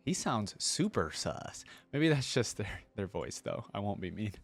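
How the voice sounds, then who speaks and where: A man's voice: on the low side, sing-song, measured pace, creaky, music in the background. A man giving casual, chatty and curious live reaction commentary as a video host.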